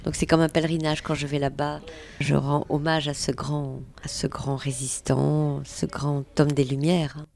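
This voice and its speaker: A woman's voice: deep voice, normal speed, creaky. A woman author in a radio interview, earnestly retelling a historical story and quoting what people said. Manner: earnest, animated retelling with quoted speech.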